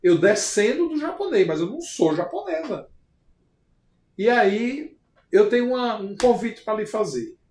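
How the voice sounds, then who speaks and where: A man's voice: sits high, expressive, measured pace, darkish tone, voice pushed up. A man voicing, in his own everyday voice, a Brazilian woman client who now runs her own household and speaks emphatically. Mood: emphatic. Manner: emphatic retelling in first person. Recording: roomy echo.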